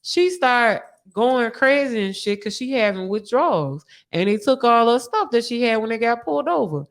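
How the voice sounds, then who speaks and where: A woman telling a personal story in casual, animated podcast style, with candid slang and frequent swearing. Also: a little high, normal speed.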